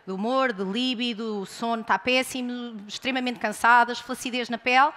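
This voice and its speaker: A woman's voice: a little high, fairly even intonation, normal speed, pushed and bright. A woman moderating a panel, articulate and asking long questions. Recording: hall reverb.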